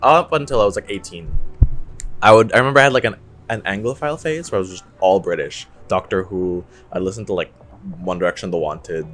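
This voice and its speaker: A man's voice: low voice, a little fast, faint music behind. A young man from the Philippines co-hosting a podcast, talking in a chatty casual way with lots of restarts. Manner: chatty, animated, restarts often. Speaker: podcast co-host. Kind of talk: casual chat.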